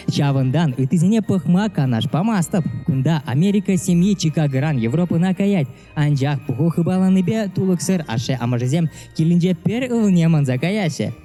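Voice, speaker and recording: Man, native Chuvash speaker, film review narration, very mellow dark tone, slightly high pitch, background music. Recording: music under the voice.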